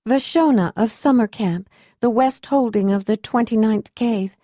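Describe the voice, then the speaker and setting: Woman doing a high, eager young acolyte. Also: moderate pace, mellow, no echo, clean studio sound, close to an everyday voice. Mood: earnest. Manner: high, earnest, a bit breathless. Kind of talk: audiobook character voice.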